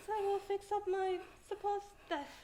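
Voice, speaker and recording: A young woman acting a deranged, gloating judge turned murderer in a theatrical stage monologue, swinging between hushed menace and outbursts. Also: very high-pitched, little pitch movement, normal speed. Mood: gloating, sinister. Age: young adult. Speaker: student stage actor. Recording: faint noise, live stage play.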